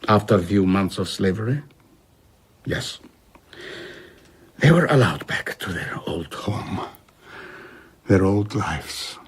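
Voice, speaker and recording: An actor playing an older traveller in an audio drama, recounting his past with reflective, measured husky speech. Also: fairly low pitch, animated, heavily acted. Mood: wistful. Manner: measured, explanatory. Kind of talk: audio drama dialogue, storytelling.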